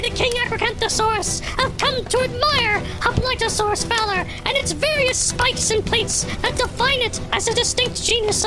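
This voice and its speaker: Casting: melodramatic predator-dinosaur monarch, extremely high squeal of a voice, pompous and whiny, loud music beneath. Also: male voice, poor audio. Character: pompous, whiny tyrant dinosaur king, comically shrill predator. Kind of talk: farcical audiodrama acting.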